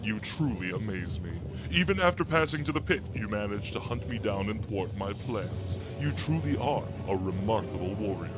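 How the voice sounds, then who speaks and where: A man's voice: on the low side, expressive, normal speed, few pauses, music in the background, lightly acted, low-quality recording. A man voicing a pompous, mocking robot villain leader in a radio drama, speaking with grandiose menace. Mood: mocking, menacing. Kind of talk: radio drama cartoon acting.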